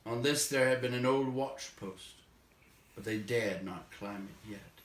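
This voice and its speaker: A man narrating a story aloud in a measured, expressive way, letting phrase endings fall away quietly. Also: medium pitch, slight background noise. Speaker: audiobook reader, narrator. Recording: roomy echo, distant microphone.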